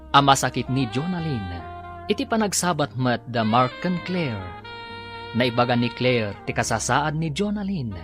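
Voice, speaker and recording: Guy announcing a radio soap, big dramatic emphatic delivery, swoopy pitch, music under him. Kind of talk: dramatic scripted radio narration. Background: dramatic theme music.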